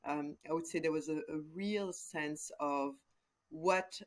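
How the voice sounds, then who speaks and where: A woman in her forties from West Africa, a guest in an interview, speaking thoughtfully with frequent pauses and fillers. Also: low-pitched. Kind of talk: casual conversational interview.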